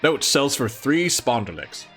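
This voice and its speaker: Lively, expressive man reading aloud. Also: mid-range voice, measured pace, soft background music. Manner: animated, expressive reading with mock-incredulous asides. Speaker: streamer reading a comic aloud. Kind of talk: casual live read-along with commentary.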